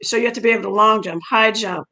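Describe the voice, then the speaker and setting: Middle aged lady, french is her first language, coach chatting in an interview, lots of vocal fry, kinda rambly. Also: medium pitch, fast talker, sharp tone. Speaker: track and field coach, interview guest. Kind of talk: conversational personal storytelling.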